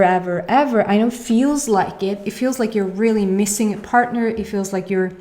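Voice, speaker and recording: A woman's voice: medium pitch, mellow. A young woman with a Swedish accent, a native Swedish speaker, giving a casual tarot reading and often searching for her words. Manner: rambling, searching for words, many fillers. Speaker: tarot reader.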